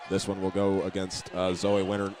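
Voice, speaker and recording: A man giving steady, methodical live play-by-play commentary of a high school basketball game. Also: normal speed. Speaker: play-by-play announcer. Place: indoor school basketball gym. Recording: live gym broadcast with clear background noise.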